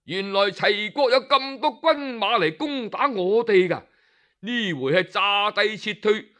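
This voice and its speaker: A man's voice: high-pitched, animated, a little slow, fairly bright tone. A man voicing a proud, boastful male general in a traditional storytelling recording, speaking in a blustering, self-assured way. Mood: alarmed.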